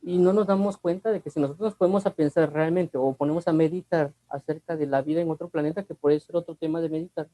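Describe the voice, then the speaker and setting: Preacher, Guatemalan accent, man, conversational and repetitive, warm tone, no room sound. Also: fairly high pitch, steady tone, fast, hardly any pauses, no room sound, low-quality recording. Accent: Guatemalan Spanish. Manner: conversational, repeats himself, asks rhetorical questions. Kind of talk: Bible teaching.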